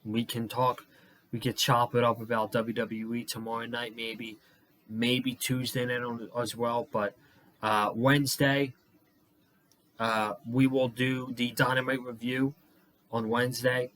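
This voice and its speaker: A young man reviewing a wrestling show on his channel, losing his voice and worn out, talking excitedly with a lot of swearing and rambling. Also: medium pitch, unhurried. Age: young adult. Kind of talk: casual solo review vlog.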